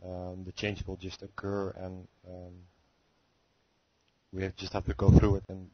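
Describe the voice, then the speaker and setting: A male caller on a conference call, hesitantly working out a question with many pauses and rephrasings. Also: low voice, completely flat delivery, slight background noise. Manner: hesitant, searching for words, many fillers. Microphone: phone line. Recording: muffled phone-call audio.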